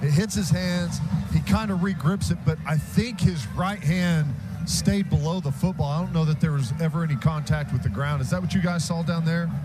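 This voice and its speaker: A man's voice: a little high, moderate pace, over loud music. An American man giving conversational, explanatory color commentary on a live football radio broadcast. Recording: very noisy stadium radio broadcast.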